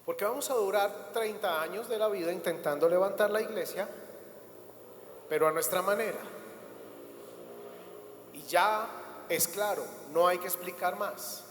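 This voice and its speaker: High-voiced male pastor preaching in echoey church. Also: a little hiss or hum. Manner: emphatic preaching with repetitions and rising bursts. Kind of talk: sermon. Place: church hall. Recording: echoey church hall, faint ambience.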